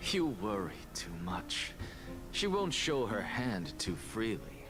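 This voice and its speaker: An actor playing a haughty, blunt, self-assured scholar lord in a fantasy game, with an imperious, formal manner. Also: male voice, on the low side, huge pitch swings, takes it slowly, exaggerated voice. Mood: cool, bargaining. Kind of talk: scripted fantasy game dialogue. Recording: game dialogue under background music.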